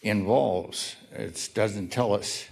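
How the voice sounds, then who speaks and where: A man giving a halting, pointed public comment at a city meeting, speaking into a podium microphone in a council chamber. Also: lowish voice, vocal fry.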